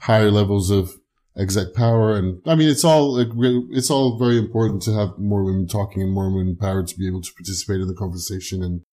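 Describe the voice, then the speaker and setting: A man's voice: lowish voice, fast talker, dark tone. A man hosting a podcast interview, enthusiastic and rambling, with frequent affirmations and restarted sentences. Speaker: podcast host. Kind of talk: casual interview chat.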